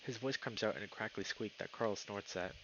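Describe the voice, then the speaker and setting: Low-voiced male streamer, slightly muffled mic. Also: fairly quick, a bit bright, a little hiss or hum. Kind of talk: live game stream commentary and reading visual novel text aloud. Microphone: streaming mic with noise filtering.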